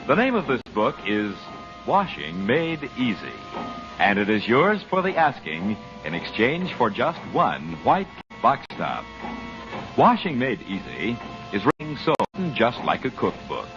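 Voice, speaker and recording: A man's voice: mid-range voice, huge pitch swings, unhurried, music in the background. A man announcing a sponsor's offer in scripted 1940s radio style. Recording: muffled old radio recording.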